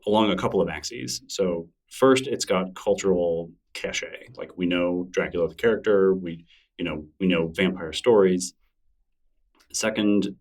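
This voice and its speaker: A man with a very low voice talks his way through answers in a relaxed, wandering manner, circling back with fillers and restarts. He sounds set back from the microphone. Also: far from the mic. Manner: thoughtful, rambling, with frequent fillers and restarts. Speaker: interview guest, newsletter creator. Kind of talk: casual conversational interview.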